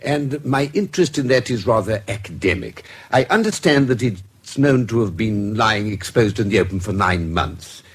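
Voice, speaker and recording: An authoritative British gentleman investigator in a radio play. He is a little low and husky, with varied intonation and a precise, courteous, formal delivery. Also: lively intonation, moderate pace, hardly any pauses. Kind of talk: radio drama acting.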